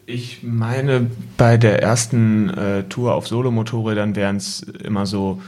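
A young man with a slightly low voice talks as a podcast guest. He recollects in a casual, reflective way, choosing his words thoughtfully and with some hesitation. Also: steady tone, a little slow, darkish tone. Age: young adult. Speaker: podcast guest, son of travelling family.

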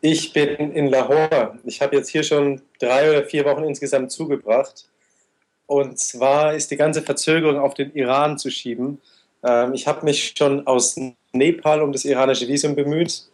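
A man in his twenties, an interview guest recounting his travels in a steady, detailed way. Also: mid-range voice, moderate pace. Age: 20s. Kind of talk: conversational interview answers.

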